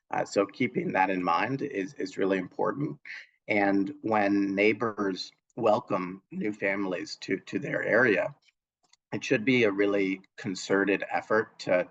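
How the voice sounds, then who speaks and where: A young man speaking as a panelist in earnest, measured remarks, with frequent fillers and restarts, heard over a video call. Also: low-pitched, vocal fry, fairly bright tone. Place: home room on a video call. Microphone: video call mic. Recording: dull lo-fi video call audio.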